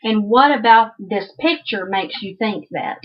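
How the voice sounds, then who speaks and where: A woman's voice: medium pitch, animated, a little slow, lo-fi sound. A woman with a Southern American accent teaching children through an interactive read-aloud, asking questions and repeating answers back.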